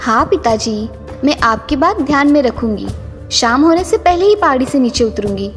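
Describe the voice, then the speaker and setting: A woman voicing a naive, insistent young woman in an animated story. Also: high voice, normal speed. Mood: pleading, insistent. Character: young woman, naive daughter-in-law and stubborn pleading princess. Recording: long reverb, music underneath.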